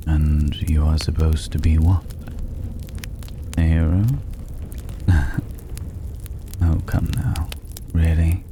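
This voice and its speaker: A man playing a mysterious, worldly stranger in a dragon's hoard in an ASMR fantasy roleplay, mocking and condescending, with a languid, teasing delivery and drawn-out pauses. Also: very deep voice, exaggerated voice. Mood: amused, mocking. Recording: clearly noisy ambient background.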